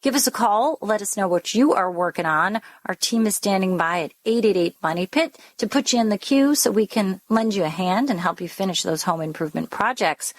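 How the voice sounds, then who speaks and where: A woman co-hosts a home improvement call-in radio show in a dry studio sound. She is chatty and upbeat with guests, and she reads the promos smoothly at a middle pitch. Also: brisk, hardly any pauses, no echo. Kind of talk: radio hosting and interviewing.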